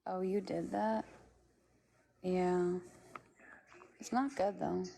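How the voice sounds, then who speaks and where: A woman's voice: lowish voice, fairly even intonation, slow, gravelly, warm tone. A woman from Florida livestreaming, thinking aloud and chatting casually while she works on a craft, with a rambling manner and casual swearing.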